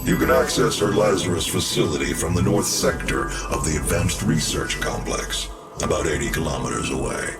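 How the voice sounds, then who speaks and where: An actor playing a grave, coldly composed cyborg corporate chief in a video game, speaking formally and with measured authority through a synthetic, robotic effect. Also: male voice, a bass voice, creaky, distant mic. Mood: composed, commanding. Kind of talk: video game cutscene acting. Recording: loud music behind the voice.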